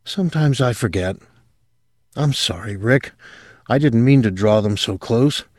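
A man voicing a young woman who is eerie and rapturous, speaking softly and breathlessly. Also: mid-range voice, expressive, a little slow, no echo, clean studio sound. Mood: rapt.

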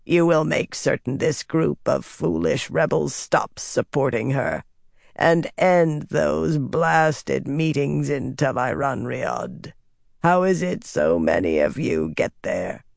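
An audiobook narrator voicing a menacing, commanding female servant of evil with a slow, rasping, growling hiss. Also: medium pitch, animated, no echo, clean studio sound. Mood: calculating, stern. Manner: slow, imperious, curt. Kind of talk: audiobook character voice.

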